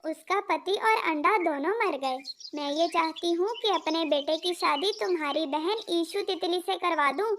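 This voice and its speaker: Woman as a high-pitched nagging butterfly mother-in-law. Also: moderate pace, few pauses, a bit bright, slight background noise. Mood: blunt, insistent. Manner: nagging, blunt. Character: scolding butterfly mother-in-law demanding grandchildren, older female, threatening. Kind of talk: Hindi children's cartoon dialogue.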